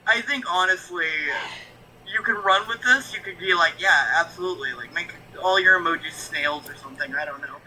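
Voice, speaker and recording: Bright, high-voiced chatty man. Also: a little fast, a little hiss or hum, poor audio. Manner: rambling, frank, lots of fillers and restarts. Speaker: guest, YouTube reactor. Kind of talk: casual stream chat.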